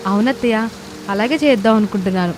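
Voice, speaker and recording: A woman's voice: medium pitch, quick pace, lightly acted. Woman acting a plaintive young daughter-in-law, faint noise. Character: dutiful, easily hurt young daughter-in-law and mother. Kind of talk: Telugu moral-story cartoon voice acting.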